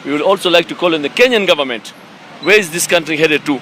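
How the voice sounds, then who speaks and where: A man speaks in a fairly high voice with hesitant, questioning appeals. Faint traffic noise runs behind him. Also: fast, bright, forceful tone. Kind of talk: street statement.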